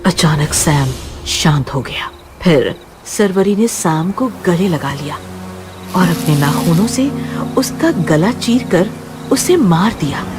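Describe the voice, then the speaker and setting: A woman's voice: lowish voice, expressive, slow pace, music in the background. A woman reading scripted horror story narration in a dramatic, suspenseful manner.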